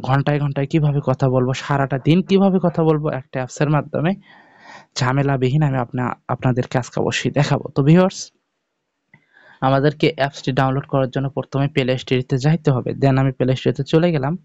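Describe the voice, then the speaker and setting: A man's voice: medium pitch, steady tone, fast, darkish tone, dry recording, muffled recording. A man hosting an informal step-by-step app tutorial, speaking directly to viewers and checking in with them often. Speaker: tutorial video host.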